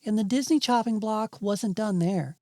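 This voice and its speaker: A woman's voice: mid-range voice, normal speed, mellow, no room sound, clean studio sound. A woman hosting and narrating a scripted video essay. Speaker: narrator and host.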